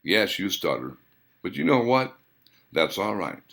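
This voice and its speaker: Man reading as gentle, creaky old grandfather. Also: lowish voice, very animated, exaggerated voice. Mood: tender, encouraging. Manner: gentle, deliberate, encouraging. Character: kindly, wise old mountain-man grandfather.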